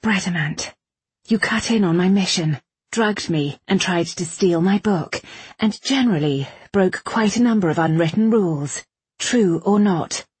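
A woman's voice: medium pitch, expressive, unhurried, darkish tone, breathy edge, no echo, voice pushed up. A woman narrator voicing a wounded, stubborn young woman who speaks bluntly and with weary, sardonic insistence. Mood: blunt, insistent.

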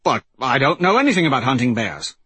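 Man playing a nervous, reluctant freed slave, husky. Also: medium pitch, sing-song, fast, pushed and bright, no echo, heavily acted. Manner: nervous, questioning.

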